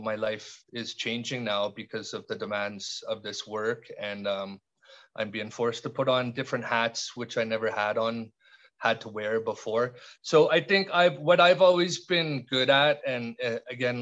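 Middle-aged Canadian man, podcast guest, reflective and halting, dull lo-fi audio. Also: medium pitch, fairly bright tone. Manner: thoughtful, halting, many restarts and fillers. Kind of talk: reflective conversational interview.

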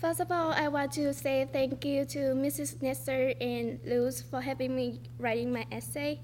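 A teenage girl from Vietnam reading her personal essay aloud on a stage, slowly and carefully, with pauses. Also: high voice, fairly even intonation, darkish tone, a little hiss or hum. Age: teens. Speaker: student storyteller. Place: performing arts center stage.

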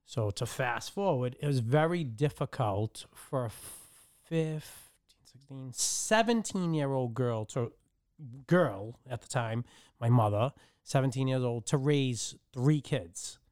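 A middle-aged man from the Dominican Republic tells his life story as a podcast guest. His voice is smooth and clean, and the recording is dry with no room sound. He pauses and restarts often as he works through his memories. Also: mid-range voice, animated, a little mellow, no echo. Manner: halting, with frequent restarts and fillers. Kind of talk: personal storytelling.

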